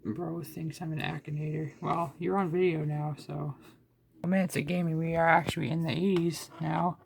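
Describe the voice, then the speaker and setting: Man, gravelly edge, casual rambling narration, faint hiss behind. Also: fairly high pitch, level tone, moderate pace. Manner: rambling, thinking aloud with restarts. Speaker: hardware hobbyist vlogger. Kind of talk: casual self-narrated screen recording.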